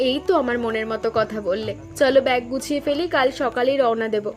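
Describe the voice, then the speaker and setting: A woman voicing an affectionate, eager young married daughter in an animated story, with brisk chatty delivery. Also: a little high, faint music behind, natural voice. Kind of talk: Bengali animated story dialogue.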